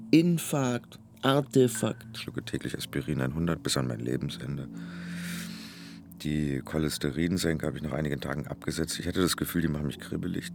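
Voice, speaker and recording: A man's voice: rumbling low, creaky, background noise. An actor in a radio drama playing a weary, wry stroke patient who recounts his stay in hospital. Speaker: actor narrating in first person.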